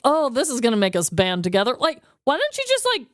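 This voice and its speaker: A woman co-hosting a podcast in casual, jokey conversation, informal and quick to laugh, close on the microphone. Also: a little high, wildly swooping pitch, no echo. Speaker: podcast co-host. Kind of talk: casual conversational true-crime comedy podcast chat.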